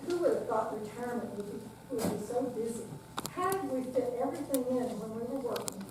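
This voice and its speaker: A woman's voice: on the high side, lively intonation, fairly quick, natural voice. An older woman in an amateur staged reading, playing a cheerful, supportive and slightly naive retired friend. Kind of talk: amateur readers theater. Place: senior center, recorded from afar. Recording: far-off echoey room, clear background noise.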